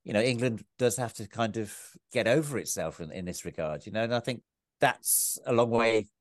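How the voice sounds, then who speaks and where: A man's voice: on the low side, moderate pace, no room sound, clean studio sound. A man speaking as a podcast guest and author, talking in a reflective way, often repeating words as he starts a thought. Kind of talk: reflective conversation.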